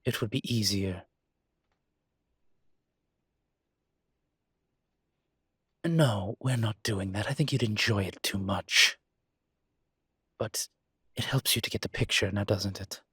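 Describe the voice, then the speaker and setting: A man playing a suave ancient demon professor in an intimate roleplay audio drama, with a husky, breathy low voice and a dry, teasing, urbane manner. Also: normal speed, bright, forceful tone, no echo, full character voice. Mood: playful grumbling.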